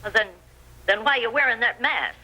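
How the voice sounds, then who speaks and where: A woman's voice: a little high, animated, fairly quick, pushed and bright, full character voice. An actress playing a blustery, blunt older backwoods woman with a folksy rustic twang in an old radio western. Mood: amused, pert. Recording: vintage lo-fi radio drama recording.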